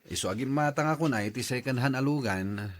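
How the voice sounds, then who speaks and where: A man's voice: mid-range voice, brisk, a little hiss or hum, lightly acted. An actor with an Ilocano Filipino accent playing an ambitious, cheerful salesman and husband in a radio drama. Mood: proud. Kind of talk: acted radio drama dialogue.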